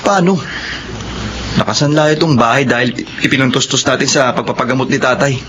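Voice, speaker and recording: A man's voice: medium pitch, a little fast, lightly acted. A man acting as a worried, self-concerned student brother in a Filipino radio drama. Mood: worried, protesting. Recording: noisy radio drama track.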